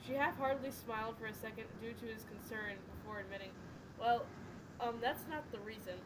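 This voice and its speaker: Higher-pitched woman reading aloud, noisy recording. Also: brisk. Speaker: reader in a group fanfiction reading. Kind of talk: casual story read-aloud with character dialogue.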